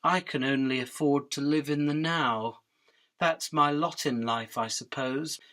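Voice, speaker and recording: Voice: mid-range voice, fairly even intonation, measured pace, fairly bright tone, natural voice. An actor playing a resigned, pedantic young housefly in a comic radio drama, rambling with wry philosophy. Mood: resigned, wistful.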